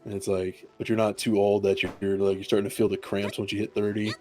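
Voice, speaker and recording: A man's voice: lowish voice, flat delivery, quick pace, over music. A young man in his twenties co-hosting a live stream, joking casually, laughing often and trailing off mid-sentence. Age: 20s. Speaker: stream co-host. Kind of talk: casual chat.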